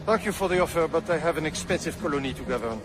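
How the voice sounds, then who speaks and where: Actor as a haughty colonial governor. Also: male voice, fairly high pitch, lively intonation, very fast, over music, lightly acted. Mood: coolly dismissive. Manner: pompous, dismissive. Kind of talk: dramatic video game acting.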